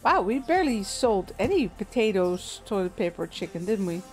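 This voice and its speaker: A woman streamer with a gentle, soothing voice chats loosely with her viewers as she plays. She talks at an easy pace, with lots of fillers and small laughs. Faint game music plays underneath. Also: medium pitch, fairly quick. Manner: relaxed, chatty, frequent little laughs and fillers. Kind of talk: casual gameplay commentary, chatting with viewers.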